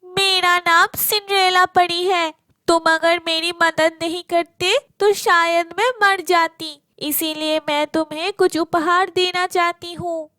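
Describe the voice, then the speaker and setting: A woman voicing a grateful, kindly young fairy in a Hindi animated fairy tale. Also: extremely high pitch, slow, no room sound, clean studio sound, full character voice. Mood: grateful, gracious.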